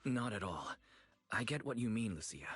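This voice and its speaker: An actor playing a calm, curious, supportive young friend in a video game, gently asking questions in a husky voice. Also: male voice, fairly low pitch, normal speed, faint music behind, full character voice. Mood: thoughtful, supportive. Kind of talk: video game story dialogue acting.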